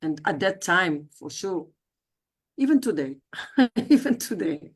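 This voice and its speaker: A woman's voice: lowish voice, animated, measured pace, husky. A woman, introducing herself on a video call in a chatty, personal way, with frequent hesitations and self-corrections. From South America. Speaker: guest speaker, leadership coach. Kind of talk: livestreamed self-introduction talk.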